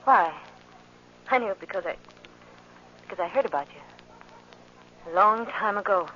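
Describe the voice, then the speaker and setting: A woman's voice: mid-range voice, lively intonation, unhurried, bright, forceful tone. An actress playing an intense, guarded young woman, hesitant and brooding, in a 1940s radio drama. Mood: guarded, nervous. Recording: muffled old radio recording, faint noise.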